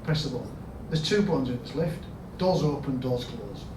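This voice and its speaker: A man with a Yorkshire accent giving a storytelling conference talk as a health service chief executive, asking the audience questions and rising to loud emphatic bursts. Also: mid-range voice, expressive, moderate pace. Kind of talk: storytelling keynote speech. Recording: echoey hall, mic at a distance, background noise.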